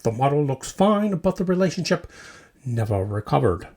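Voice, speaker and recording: A man with a slightly high voice hosts a comedy podcast. His delivery is scripted and theatrical, swinging widely in pitch through short, punchy, tongue-in-cheek phrases. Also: sing-song, moderate pace, a little mellow. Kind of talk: comedic scripted narration, mock news delivery.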